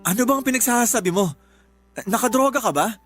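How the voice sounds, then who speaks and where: Hurt, reasoning young ex-fiancé. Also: male voice, extremely high pitch, fairly quick, fairly bright tone, faint music behind. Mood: exasperated, scolding. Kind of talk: Tagalog radio drama acting.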